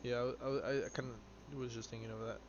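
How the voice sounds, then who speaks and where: A man's voice: mid-range voice, flat delivery. Young male streamer, twenties, rambling chat over gameplay, noisy lo-fi audio. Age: 20s. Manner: rambling, halting, answers viewer questions aloud. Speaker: live streamer. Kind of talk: casual chat while playing a game. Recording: dull lo-fi stream audio with noise.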